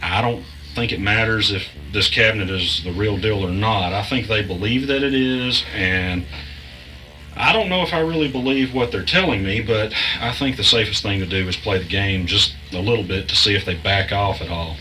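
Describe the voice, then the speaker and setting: Man playing anxious, low-voiced podcast host, music underneath. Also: normal speed, pushed and bright, poor audio. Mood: uneasy, hesitant. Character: anxious male podcast host caught in a conspiracy. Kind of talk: audio drama, naturalistic dialogue and first-person narration.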